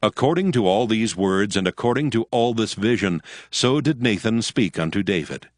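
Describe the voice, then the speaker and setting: A man's voice: lowish voice, expressive, moderate pace. A man narrating an audio Bible in a scripted reading style.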